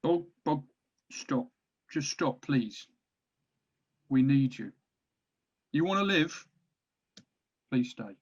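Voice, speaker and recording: A man's voice: medium pitch, lively intonation, slow, pauses often, slightly rough. A man playing a weary orc war band captain rallying his crew in a fantasy comedy table read, on dull audio. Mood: urgent, pleading.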